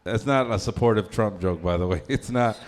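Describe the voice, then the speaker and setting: A man with a fairly low voice working through a stand-up set. His delivery is crude and rambling, full of uh and um fillers. He keeps chuckling at his own lines. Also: fairly quick. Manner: throws in lots of fillers like uh and um. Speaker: stand-up comedian.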